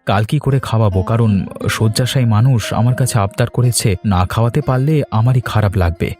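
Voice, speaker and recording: A man's voice: lowish voice, fairly quick, a little mellow, faint music behind. A man voicing a husband and nephew in a Bengali cartoon, speaking in an earnest, plain way.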